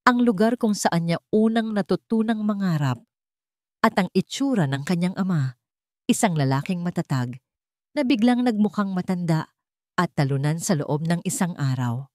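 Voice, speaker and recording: A woman narrates a scripted drama in a measured, dramatic way. Her voice sits a little low, and it is recorded dry and close with no room sound. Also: lively intonation, darkish tone, dry recording. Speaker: storyteller.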